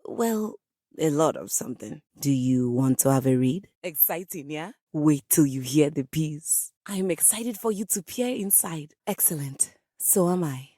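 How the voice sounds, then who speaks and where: A living grand piano from a video game, a proud diva composer with a lowish, slightly husky voice. She speaks formally and with great drama, swooping widely in pitch. The sound is close and dry. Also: a little slow, no echo. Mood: nervous, grateful. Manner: refined, formal, theatrical, grandly expressive. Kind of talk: theatrical video game character dialogue.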